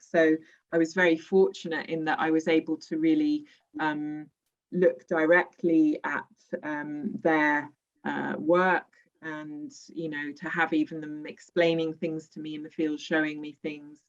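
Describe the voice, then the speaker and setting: A woman poet answering questions in an online session, speaking in a thoughtful, measured way with frequent pauses. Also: sits low, level tone. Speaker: poet and workshop leader. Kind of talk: online talk and Q&A. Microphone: video call. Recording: lo-fi video call audio.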